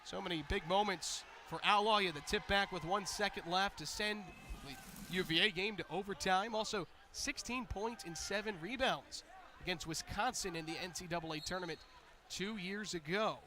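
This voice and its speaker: An American man doing energetic live basketball play-by-play commentary, building to excited calls on big plays. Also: sits high, moderate pace.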